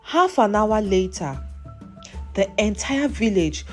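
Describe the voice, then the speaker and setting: A woman storyteller reads a scripted folktale. Her intonation is highly animated, and music plays softly behind her. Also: slow.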